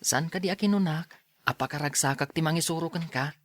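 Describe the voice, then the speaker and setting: An actor playing a timid, earnest youth in a radio drama, speaking plaintively and deliberately. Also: mid-range voice, fairly bright tone, close to an everyday voice. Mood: grateful. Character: timid earnest youth Aljun, son and younger brother.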